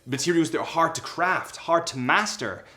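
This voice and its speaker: A man's voice: fairly high pitch, expressive, normal speed, pushed and bright. A young man with a cold and a slightly nasal voice, chatting casually and a little ramblingly as he reviews a watch to camera. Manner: chatty and rambling, with self-aware asides.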